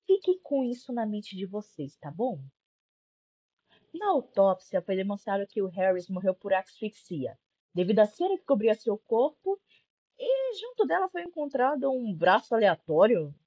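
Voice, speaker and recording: A young Brazilian woman hosts a casual commentary video. Her voice is husky and swings widely in pitch as she talks in an informal, sarcastic way. The recording is a little dull and lo-fi. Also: big pitch swings, normal speed, warm tone. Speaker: YouTube commentary host. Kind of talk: casual video-essay commentary recapping a horror series.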